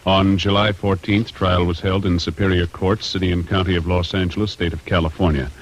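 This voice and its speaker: A man announcing in a formal, measured 1950s radio style, reading from a script. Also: low-pitched, flat delivery, faint music behind, rough recording. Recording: vintage radio recording.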